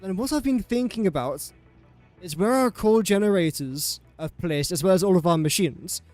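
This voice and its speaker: A man's voice: high voice, animated, normal speed, a little mellow, no echo, soft background music. Young English man with a dry, close sound. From England. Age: young adult. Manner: self-deprecating, talks to the objects he places. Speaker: gaming streamer. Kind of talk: casual live gameplay commentary.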